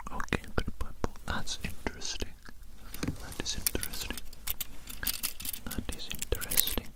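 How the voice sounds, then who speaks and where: ASMR roleplay performer. Fully whispered, breathy, gentle, soothing delivery with murmured repetitions. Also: low-quality recording. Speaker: ASMR performer playing an examiner measuring a little creature.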